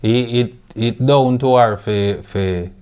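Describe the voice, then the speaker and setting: A Jamaican man hosting a podcast in relaxed patois, often adding "you see it". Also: mid-range voice, takes it slowly, clean tone. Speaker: podcast host. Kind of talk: casual chat.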